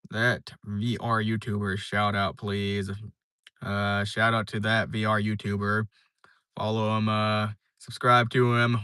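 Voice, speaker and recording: A man's voice: fairly low pitch, pushed and bright, smooth, no echo, studio quality. A young man live streaming, chatting casually and reading viewer comments, rambling with lots of fillers and restarts. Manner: laid-back, rambling, many fillers and restarts.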